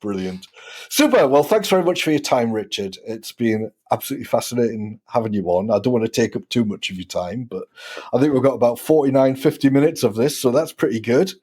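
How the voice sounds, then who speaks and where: A man's voice: medium pitch, lively intonation, a little fast, a little mellow, slightly rough. A man hosting a podcast, chatting casually and informally with his guest. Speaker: podcast host. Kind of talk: casual interview chat.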